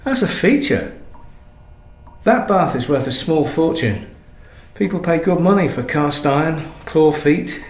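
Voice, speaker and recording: A distracted, harried husband in a naturalistic radio play. His fairly high voice swings up and down in a lively way as he apologises and hesitates. The room rings around him, with noise underneath. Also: a little slow, roomy sound. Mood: casual, mildly puzzled. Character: harried, distracted husband juggling work calls and house problems. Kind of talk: naturalistic radio drama acting.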